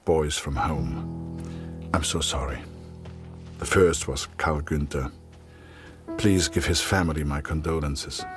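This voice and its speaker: An actor playing a grave, weary middle-aged soldier, narrating solemnly and with measure in a dramatic game. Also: male voice, a bass voice. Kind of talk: scripted dramatic narration, letter-like.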